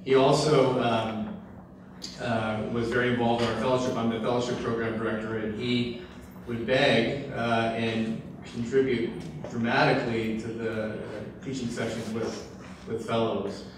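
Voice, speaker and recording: A man who directs a fellowship program, introducing a lecture speaker with frequent pauses and fillers. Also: mid-range voice, little pitch movement. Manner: hesitant, many fillers and restarts. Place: lecture hall. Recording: distant mic with echo and room noise.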